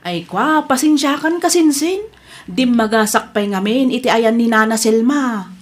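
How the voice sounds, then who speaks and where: A woman as a humble, high-voiced Ilocano cleaner. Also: lively intonation, normal speed. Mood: apologetic, reassuring. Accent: Ilocano Filipino. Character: humble, eager-to-please woman doing yard cleaning. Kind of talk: Ilocano radio comedy drama acting.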